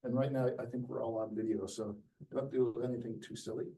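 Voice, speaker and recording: A man hosts a live pitch session in a crowded room and talks in a chatty, rambling way, often restarting his sentences. His voice is dark and mellow at an average pitch. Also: fairly even intonation, brisk, breathy edge. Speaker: event host.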